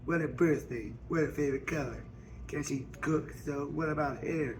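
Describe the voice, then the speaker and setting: A man's voice: fairly high pitch, normal speed, close to an everyday voice. A man reading the part of a curious, forthright Southern woman in a novel, in folksy dialect. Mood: eager. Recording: noisy public store ambience.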